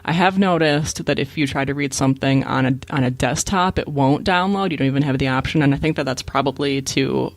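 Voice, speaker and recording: A man's voice: medium pitch, brisk, few pauses, no room sound. A man co-hosting a podcast, explaining things in a casual, matter-of-fact way. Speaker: podcast co-host.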